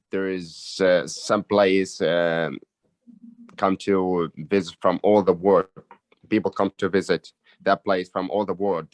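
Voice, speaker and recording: Deep voiced syrian guy, slow halting english, keeps restarting, dry sound. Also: dry recording. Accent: Syrian Arabic. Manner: halting, restarts sentences often. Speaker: English learner.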